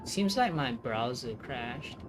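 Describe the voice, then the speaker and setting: A woman livestreaming a game, reading its text aloud and musing on it in a halting way. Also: rumbling low, lively intonation, noisy background. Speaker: game streamer. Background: game music.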